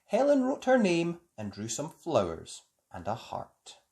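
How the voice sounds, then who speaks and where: A storyteller reading a children's picture book aloud in an expressive, deliberate way for young listeners. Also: medium pitch, creaky.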